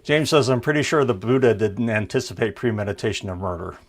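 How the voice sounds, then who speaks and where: A man's voice: on the low side, animated, quick pace. A man hosting a podcast, joking and laughing in casual chat. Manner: jokey, laughs often. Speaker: podcast host.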